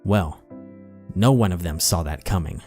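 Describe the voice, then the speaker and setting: A man's voice: low-pitched, a little slow, a little mellow. A man reading a story aloud in a theatrical narrating style with music behind him. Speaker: channel host reading fan fiction aloud. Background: background music.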